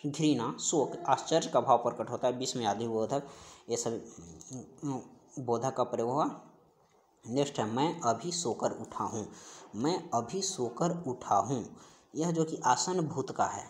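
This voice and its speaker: A man teaching an exam-preparation class, going through questions and answers in an instructional, repetitive way. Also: mid-range voice. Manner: repetitive, instructional, stressing key terms by repeating them. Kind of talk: explaining quiz questions in an online class.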